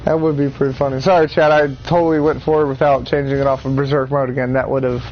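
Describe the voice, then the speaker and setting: A man streaming a game in casual chat. He sounds congested from a cold, gets excitable, laughs often and swears. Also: mid-range voice, fast, a little hiss or hum. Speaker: gameplay streamer. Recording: dull lo-fi audio with faint music.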